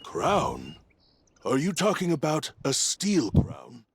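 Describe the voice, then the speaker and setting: An actor playing a village chief in a fantasy video game, with a slow, swooping delivery. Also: male voice, on the high side, huge pitch swings, slight background noise, exaggerated voice. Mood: puzzled. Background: game music.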